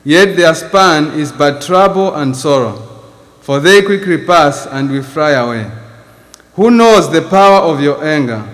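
A man's voice: on the high side, fairly bright tone, in a large hall, low-quality recording. A man from Zambia with a Zambian accent, preaching a sermon in church and reading scripture earnestly. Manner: earnest, reads scripture with rising emphasis. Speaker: visiting preacher.

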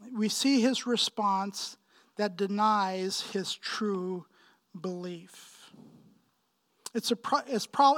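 A man in his fifties from Pakistan preaching a sermon, with emphatic, deliberate phrasing and frequent repetition. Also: sits high. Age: 50s. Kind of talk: sermon, expository preaching with personal anecdotes.

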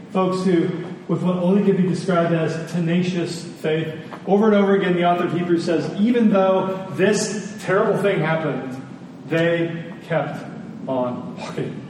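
A man preaching an expository sermon, earnest and emphatic, with dramatic pauses and repetition. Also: on the high side, darkish tone. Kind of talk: expository sermon with scripture reading. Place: large reverberant indoor hall. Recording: distant, echoey large hall, faint noise.

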